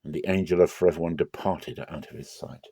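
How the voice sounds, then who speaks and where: Low, mellow man reading scripture dramatically. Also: fairly even intonation, fairly quick, slightly rough, no room sound. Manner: solemn, theatrical storytelling. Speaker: narrator.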